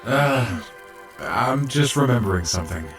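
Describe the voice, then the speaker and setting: A man's voice: medium pitch, lively intonation, unhurried, fairly bright tone, recorded from a distance, soft background music. A man playing a shy, awkward young robot medic in an audio drama, hesitant, stammering and apologetic. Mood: reflective, hesitant. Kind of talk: fan audio drama acting.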